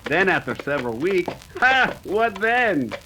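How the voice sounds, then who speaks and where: An actor playing a cunning, boastful Mexican bandit leader in an old-time radio western, speaking broken English sprinkled with Spanish. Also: male voice, high voice, very animated, a little slow, background noise. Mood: exasperated, scheming.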